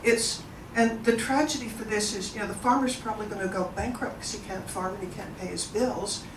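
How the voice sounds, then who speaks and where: A woman's voice: mid-range voice, steady tone, brisk. Chatty woman moderator in a roomy hall. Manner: chatty, enthusiastic, engages the audience. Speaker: event moderator. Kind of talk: informal presentation to an audience. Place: event room. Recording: roomy, distant mic, background noise.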